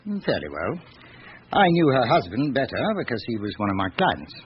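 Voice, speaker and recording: An actor playing a genial, worldly seventy-seven-year-old lawyer in a classic radio drama, musing and reminiscing urbanely with thoughtful hums. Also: male voice, mid-range voice, sing-song, close to an everyday voice. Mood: measured, urbane. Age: older. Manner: musing, discursive, urbane, with reflective hums and pauses. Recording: dull, hissy old radio recording.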